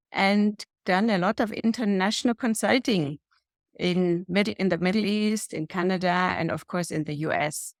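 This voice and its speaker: German-accented woman, measured and earnest. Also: fairly low pitch, dry recording, clean studio sound. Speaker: guest, healthcare executive and lecturer. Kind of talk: interview answers.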